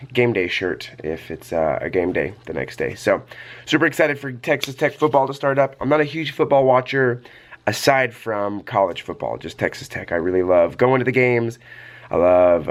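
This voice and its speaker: A man with a slightly hoarse, under-the-weather voice, talking casually in a vlog as a teacher sharing tips in an easygoing, explanatory way. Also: on the low side. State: a little congested and hoarse from coughing. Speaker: teacher and vlogger.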